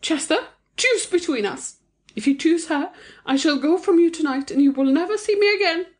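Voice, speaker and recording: Female reader as a possessive middle-aged mother, extremely high pitch, melodramatic, demanding, old-fashioned fiction audiobook. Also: lively intonation, moderate pace. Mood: stubborn, tragic. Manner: dramatic, tragic, demanding.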